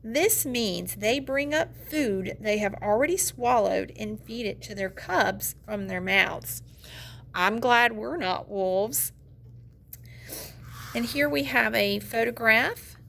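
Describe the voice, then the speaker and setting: Higher-pitched, creaky-voiced woman teacher reading aloud. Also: takes it slowly, fairly bright tone. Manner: explanatory, addresses young learners directly, poses questions. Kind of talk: instructional read-aloud of a children's nonfiction book with commentary.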